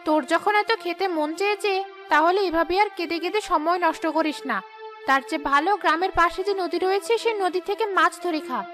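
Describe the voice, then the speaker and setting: A woman's voice: very high voice, fairly quick, fairly bright tone, soft background music. A woman voicing a stingy, scornful female ghost in a cartoon, scolding and dismissive in a smug way. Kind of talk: cartoon ghost-story dialogue.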